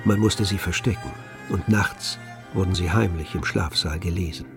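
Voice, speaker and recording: A man giving measured, articulate literary narration for a radio play. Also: deep voice. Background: music.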